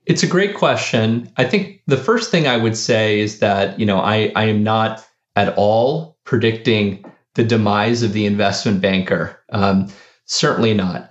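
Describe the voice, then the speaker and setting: A man, a company founder, answering interview questions in a halting way with many fillers and restarts. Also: fairly low pitch, roomy sound, highs cut off. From United States.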